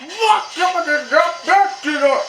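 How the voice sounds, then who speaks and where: A video game player reacting casually and excitably to gameplay with exclamations. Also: very high voice, a little slow, over music. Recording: very noisy gameplay recording.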